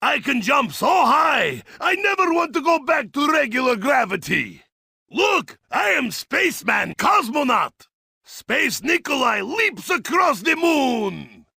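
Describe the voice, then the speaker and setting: An actor with a Russian accent playing a boisterous, crude, drunk Cossack zombie-slayer in a video game, shouting in broken English. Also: male voice, extremely high pitch, big pitch swings, takes it slowly, gravelly, no room sound, studio quality, heavily acted. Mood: giddy rambling. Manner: shouting gleefully, broken English without articles. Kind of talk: video game character voice lines.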